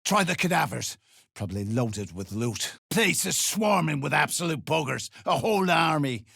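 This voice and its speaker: An actor playing a hard-bitten, threatening duergar dwarf in a video game, gravelly and curt, with coarse slang. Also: male voice, on the high side, expressive, moderate pace, a bit bright, no echo, clean studio sound, exaggerated voice. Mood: grim, surly. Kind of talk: video game character lines.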